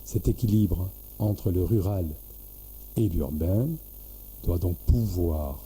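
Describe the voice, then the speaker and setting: Slow, low-voiced man explaining formally. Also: warm tone, a little hiss or hum. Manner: measured, halting delivery in short phrases, formal administrative phrasing. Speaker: local government official. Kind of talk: formal prepared explanation to local officials.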